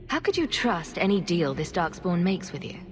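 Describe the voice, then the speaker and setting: An actress playing a calm but firm, diplomatic heroine in a fantasy game, speaking in a measured way. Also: female voice, on the low side, a little hiss or hum. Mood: questioning. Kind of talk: dramatic fantasy game acting. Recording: long stone-hall reverb.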